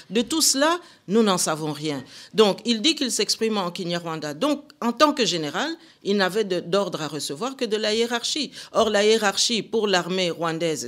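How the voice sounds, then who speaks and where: Indignant older woman arguing. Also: medium pitch. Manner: indignant, emphatic argument. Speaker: political guest. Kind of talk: radio interview answers. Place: radio studio.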